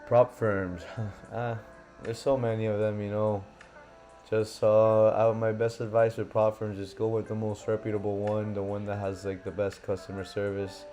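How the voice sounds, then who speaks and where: A young man from Miami with a lowish, soft and mellow voice, hosting a laid-back live stream. He thinks out loud in a loose, rambling way, full of "you know" and "um". Faint lo-fi music plays quietly behind him. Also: level tone, moderate pace. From Miami, USA. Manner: loose, thinking aloud, heavy fillers. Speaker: livestream host, forex trader. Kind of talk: casual live-stream commentary. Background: faint lo-fi background music.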